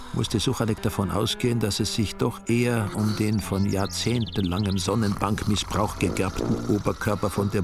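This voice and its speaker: An actor playing a wry, deadpan storyteller who narrates a radio drama with an Austrian accent. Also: male voice, on the low side, vocal fry. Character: wry, ironic male storyteller.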